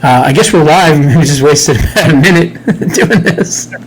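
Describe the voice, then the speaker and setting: A man's voice: on the high side, normal speed, a little hiss or hum, low-quality recording. A man in his fifties co-hosting a podcast, chatting loosely with joking, sarcastic banter. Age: 50s. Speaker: podcast co-host. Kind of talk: casual chat. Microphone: computer mic in a home studio.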